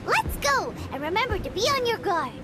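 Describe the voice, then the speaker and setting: A woman voicing a tiny, childlike, excitable fairy companion in a fantasy game, squeaky and cutely chatty. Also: moderate pace. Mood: wry, chatty. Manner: cute, chatty, exclamatory. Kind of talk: fantasy video game voice acting. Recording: loud music behind the voice.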